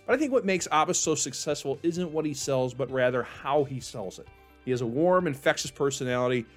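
A man's voice: mid-range voice, lively intonation, a little fast, faint music behind. A male podcast host who reads a scripted introduction and then interviews a guest in an upbeat, encouraging way.

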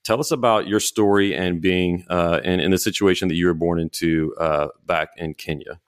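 A man's voice: sits low, steady tone, moderate pace, clear, resonant tone, dry recording, clean studio sound. A man hosting a podcast interview in a conversational way, often restarting his phrases as he talks. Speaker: podcast host.

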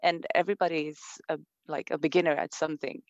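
A woman's voice: deep voice, fairly even intonation, quick pace, dry recording, muffled recording. A woman from Sweden with a Swedish accent, speaking thoughtfully in an interview, with many fillers and restarts. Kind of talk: reflective conversation.

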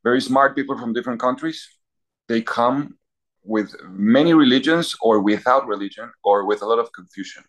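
A man's voice: mid-range voice, normal speed, low-quality recording. A man from Peru, a university chaplain priest answering interview questions in a thoughtful, example-filled way. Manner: thoughtful, listing examples, clears throat.

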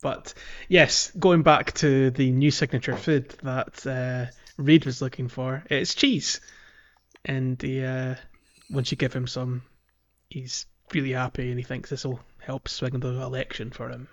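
A man's voice: mid-range voice, level tone, unhurried, lo-fi sound. A man co-hosting a gaming podcast, talking casually and informally with a hesitant delivery. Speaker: podcast co-host. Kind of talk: casual gaming discussion, recapping a video game.

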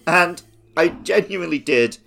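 A man's voice: fairly high pitch, lively intonation, unhurried, vocal fry, pushed and bright, slight background noise. A man hosting a comedy panel game in a casual, jokey, sweary way. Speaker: game show host.

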